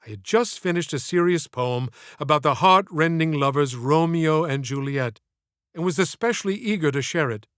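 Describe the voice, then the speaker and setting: Male narrator, higher-pitched and smooth, for expressive literary audiobook storytelling in a dry studio sound. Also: no room sound. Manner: measured, literary, expressive delivery. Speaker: author narrating own audiobook.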